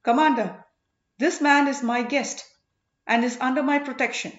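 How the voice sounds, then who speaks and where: A woman's voice: sits high, steady tone, normal speed, fairly bright tone, roomy sound, muffled recording, pitched above the performer's own voice. A woman voicing a proud young princess in a storybook, imperious and indignant. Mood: furious, imperious.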